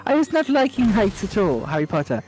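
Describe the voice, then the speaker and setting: A woman voicing a timid female house elf servant with a high, quivering squeak and broken, deferential grammar. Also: very animated, fairly quick, darkish tone, natural voice, low-quality recording. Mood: timid servile.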